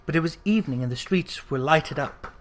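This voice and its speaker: A reader giving an expressive, dramatic narration of a classic story, leaning into lists with emphasis. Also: mid-range voice, brisk, a little hiss or hum. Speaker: audiobook reader.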